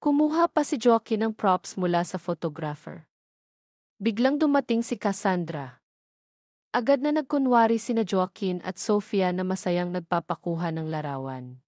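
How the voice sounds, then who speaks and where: A Filipino woman narrates a scripted romance drama at a medium pitch. Her delivery is that of a storyteller reading prepared text, recorded very dry with no room sound around the voice. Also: lively intonation, moderate pace, a little mellow, dry recording.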